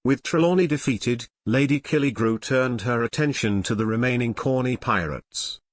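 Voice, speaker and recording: A man's voice: medium pitch, normal speed. A man narrating an audio drama in a scripted, dramatic storytelling style.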